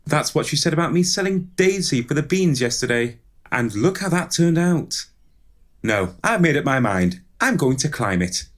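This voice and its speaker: A woman playing a cheeky, headstrong boy adventurer in an audio pantomime, brash and excitable. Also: low voice, normal speed. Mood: determined.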